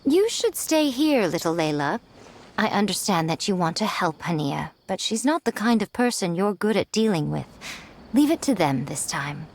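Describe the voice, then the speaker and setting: A woman's voice: lowish voice, very animated, moderate pace, a little hiss or hum. A woman voicing a sly, elegant, self-assured fox lady in a video game, teasing and condescending with poised phrasing. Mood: knowing, coaxing.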